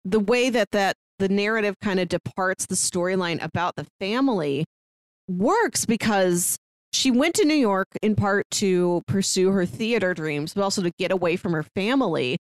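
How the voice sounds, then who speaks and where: A woman's voice: mid-range voice, expressive, normal speed, dry recording. Woman chatting casually in a dry room. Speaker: podcast co-host. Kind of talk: casual film discussion.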